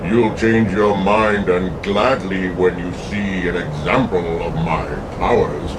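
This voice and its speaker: An actor playing an ancient evil ghost in pulp radio melodrama, slow, grandiose and menacing. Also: male voice, low-pitched, fairly even intonation. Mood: commanding, ominous. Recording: distant mic with loud humming noise.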